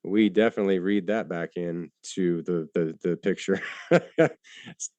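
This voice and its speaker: A man in a debate who answers haltingly, with many fillers and restarts and some casual swearing. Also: low-pitched, a little mellow, no room sound. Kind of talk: live debate.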